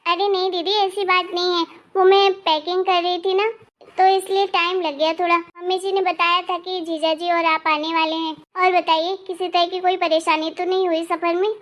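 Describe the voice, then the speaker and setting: Woman as cartoon young daughter-in-law, very high voice, polite, meek, deferential. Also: flat delivery, moderate pace, fairly bright tone. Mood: apologetic. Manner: deferential, apologetic. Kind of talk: Hindi animated family story dialogue.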